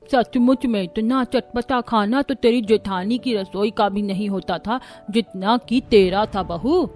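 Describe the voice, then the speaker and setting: A woman's voice: mid-range voice, a little slow, darkish tone, faint music behind, lightly acted. A woman voicing a demanding, fussy old grandmother-in-law in a family cartoon, with a bossy, scolding manner. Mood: approving. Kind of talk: Hindi family cartoon dubbing.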